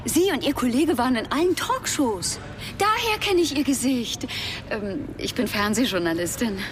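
A woman's voice: a little high, very animated, normal speed, slight background noise. A woman acting a polite, curious television journalist in naturalistic radio drama dialogue. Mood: polite, hesitant.

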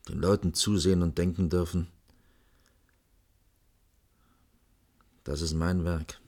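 Deep-voiced, stern senior architect. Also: male voice, slightly rough, full character voice. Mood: impassioned, wistful. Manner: measured, firm, admonishing. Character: stern, disillusioned male senior architect, pragmatic boss. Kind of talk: German radio drama dialogue.

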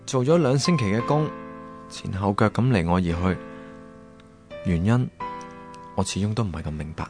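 A man's voice: on the low side, expressive, moderate pace, loud music behind the voice. An actor playing a hapless, wryly plaintive young man who narrates his diary in a radio drama. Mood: dejected.

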